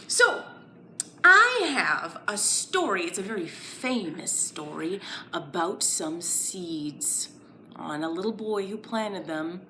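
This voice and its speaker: A woman's voice: fairly low pitch, big pitch swings, slow, sharp tone, a little hiss or hum. A woman telling a story to young children in a sing-song way, with exaggerated emphasis, speaking to them directly.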